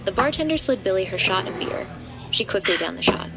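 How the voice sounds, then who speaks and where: A woman narrates a horror audio drama from a script. Her pitch sits in the middle of the female range. Music plays clearly behind her narration. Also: animated, brisk.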